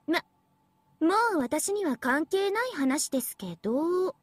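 High-pitched smug anime AI devil girl. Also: lively intonation, slow, no echo. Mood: coy. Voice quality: sweet, girlish. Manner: playful, mock-polite, condescending. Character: smug, teasing young female AI devil girl. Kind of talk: Japanese anime game voice acting.

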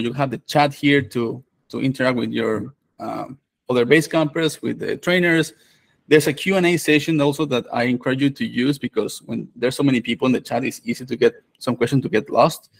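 A man, hosting a live online course on a video call, relaxed and full of fillers. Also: mid-range voice, creaky. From Venezuela. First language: Spanish. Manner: relaxed, many fillers and restarts. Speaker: developer advocate and course host.

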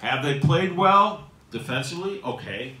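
A middle-aged man talks sports into a handheld microphone at a restaurant table, hitting his key words hard. His voice has a bright, forward edge. The room sounds echoey and he sits a little off the mic. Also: on the high side, lively intonation, normal speed, distant mic. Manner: emphatic, punchy delivery with rising stresses. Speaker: host. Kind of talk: casual sports talk show. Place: small restaurant dining room, seated at a table.

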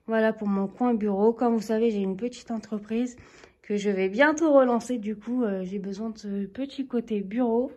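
A woman's voice: a little high, moderate pace, mellow. Woman in her 30s chatting through a living room tour, phone camera, lots of ums. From Turkish heritage, living in France. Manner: chatty, many fillers, friendly asides to viewers. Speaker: home vlogger. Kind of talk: casual home tour narration. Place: apartment living room, indoors. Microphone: handheld phone camera, speaker off screen.

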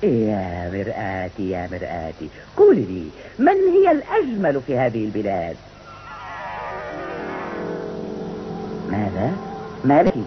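Wicked, wildly swooping scheming countess. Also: female voice, very deep voice, huge pitch swings, normal speed, heavily acted. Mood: imperious. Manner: coaxing, imperious, theatrical. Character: wicked scheming countess, disguised as old woman. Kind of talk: dubbed cartoon acting. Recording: dull lo-fi dub with loud music.

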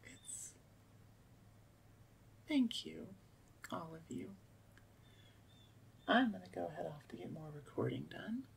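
A woman's voice: lowish voice, expressive, takes it slowly, vocal fry, long pauses, recorded from a distance, slight background noise. A woman chatting casually to her listeners in an outro, close on the mic, correcting herself and repeating words for emphasis. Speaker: audio roleplay creator and voice actor. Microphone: close studio-style microphone, seated.

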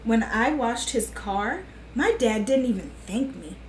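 A woman's voice: a little high, animated, measured pace. A middle-aged woman hosting a children's storytime, speaking to young listeners and their parents. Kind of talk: reading a picture book aloud to children. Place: small living room on a sofa, indoors. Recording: echoey room with faint hiss.

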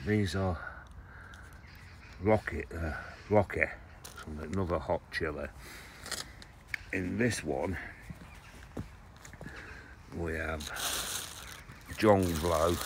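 Slow, deep-voiced man in his sixties. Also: a little hiss or hum. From England. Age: 60s. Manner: rambling, self-correcting, chatty. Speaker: allotment gardening vlogger. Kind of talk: casual chat to camera. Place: allotment greenhouses and plots, partly outdoors.

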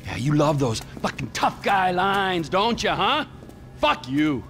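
A volatile middle-aged criminal from a video game, high-voiced and wildly animated. He talks in mocking, foul-mouthed taunts with erratic swagger. Music plays behind him. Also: unhurried, exaggerated voice. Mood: sarcastic. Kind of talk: video game cutscene acting. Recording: background music and game ambience.

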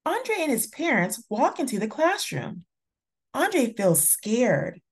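A woman reading a children's picture book aloud in a deliberate, expressive storytelling manner. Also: on the high side, husky. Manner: slow, deliberate, expressive delivery for young children. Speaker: children's story reader and channel host.

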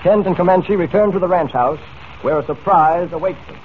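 A man's voice: high voice, fairly quick, natural voice. Man as dramatic old-time radio narrator, tinny. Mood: dramatic, suspenseful. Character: dramatic storyteller of a western adventure. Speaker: serial narrator. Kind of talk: 1940s radio scripted narration. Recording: tinny, noisy 1940s radio broadcast.

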